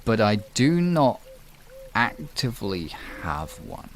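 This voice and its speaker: A man's voice: fairly low pitch, sing-song, takes it slowly. A man with a British accent hosting a livestream, chatting casually and rambling informally with some swearing. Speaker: livestream host. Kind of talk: casual chat with stream viewers.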